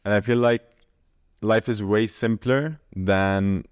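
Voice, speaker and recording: A man in his twenties from Syria, a podcast guest telling his story in an animated way and laughing often. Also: lowish voice, slow, smooth, no room sound, clean studio sound. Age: 20s. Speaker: podcast guest, mindset coach. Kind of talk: casual interview chat.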